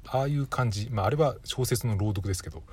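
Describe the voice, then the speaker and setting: Male solo podcast host, fast and conversational, slightly low husky voice, unscripted and self-correcting. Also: a little mellow. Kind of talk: casual solo podcast talk.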